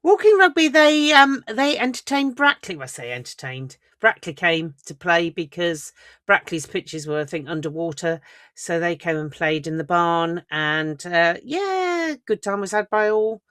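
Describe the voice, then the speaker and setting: A woman's voice: lowish voice, sing-song, measured pace, a bit bright, no echo, clean studio sound. A woman co-hosting a casual sports podcast, chatting in a rambling way. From England. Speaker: podcast co-host. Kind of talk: casual chat.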